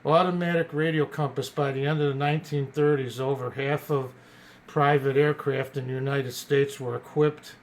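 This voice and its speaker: A man casually presenting an old radio as a collector, partly reading from notes in a halting way and stumbling over words. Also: medium pitch, a little hiss or hum.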